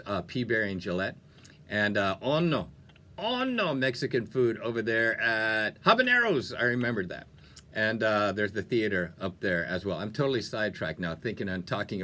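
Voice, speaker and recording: Male radio host, medium pitch with a bright, punchy edge; chatty, playful DJ delivery that wanders into asides. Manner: playful, chatty, self-interrupting. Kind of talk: upbeat music-radio DJ patter.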